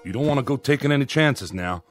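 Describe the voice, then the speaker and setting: A man's voice: mid-range voice, expressive, quick pace, soft background music, lightly acted. An actor playing an earnest, folksy Old West man organizing a posse, in a radio drama with an American Western drawl. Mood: urgent, earnest. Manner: folksy, insistent.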